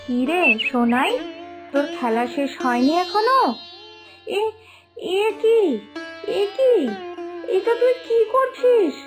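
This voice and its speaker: A woman playing a poor, loving village mother in an animated moral story, tender and lamenting as she gently scolds. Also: very high-pitched, takes it slowly, long pauses, heavily acted. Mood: calling, then dismayed. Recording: music behind the voice.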